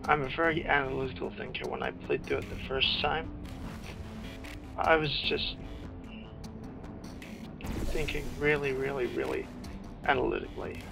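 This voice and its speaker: A man's voice: medium pitch, flat delivery, moderate pace, creaky, long pauses. A man giving casual, off-the-cuff commentary as he plays a video game, musing half to himself. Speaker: gamer giving playthrough commentary. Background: video game sound and music.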